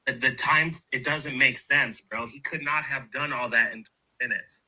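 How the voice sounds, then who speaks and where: A man talking casually and excitedly, explaining things insistently and repeating himself to get his point across. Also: mid-range voice, normal speed, faint music behind, rough recording.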